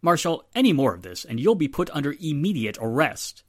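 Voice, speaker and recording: An actor playing a firm, courteous police inspector with a formal, authoritative manner in 1940s radio drama style. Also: male voice, on the high side, sing-song, a little fast, dry recording, clean studio sound. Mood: stern, controlled.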